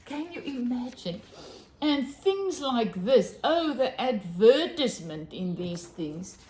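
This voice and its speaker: Gushing South African woman, lively and slow. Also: on the high side. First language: Afrikaans. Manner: gushing, enthusiastic, exclaiming over small finds. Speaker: vlogger. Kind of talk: casual chat to camera.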